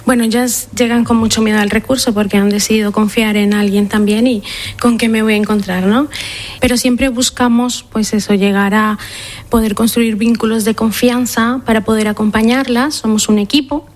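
A woman's voice: fairly high pitch, steady tone. A Colombian woman in her thirties, director of a shelter, answering interview questions earnestly with frequent restarts. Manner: earnest, measured, with repeated restarts.

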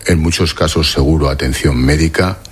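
Very deep-voiced male interviewer. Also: husky. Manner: direct, brisk questioning. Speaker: interviewer, reporter. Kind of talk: broadcast interview and reporting.

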